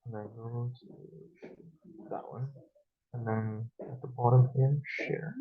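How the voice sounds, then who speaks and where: A man quietly giving short instructions to help share a screen on a call. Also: medium pitch, fairly even intonation, slow pace, phone-quality sound.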